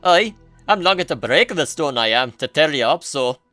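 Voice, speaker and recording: A man performs a fierce young woman companion from a fantasy game. The voice is pitched up, blunt and folksy, with a forceful bright tone. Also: expressive, normal speed, soft background music. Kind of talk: dramatic reading of video game prose.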